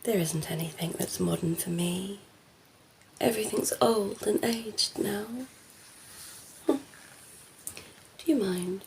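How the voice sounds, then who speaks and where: Woman playing a slow, creaky, flirty vampire lady. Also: mid-range voice, steady tone, a little mellow, a little hiss or hum, low-quality recording. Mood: playful, flirty. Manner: playful, coy, murmuring, often dropping into whisper. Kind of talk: ASMR roleplay. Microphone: close ASMR microphone.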